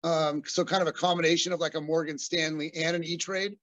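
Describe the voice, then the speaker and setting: A man's voice: on the high side, level tone, brisk, a bit bright, dry recording. A man in his forties from Southern California, a business owner talking through his background in a friendly, rambling way with many fillers. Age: 40s. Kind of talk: casual interview conversation.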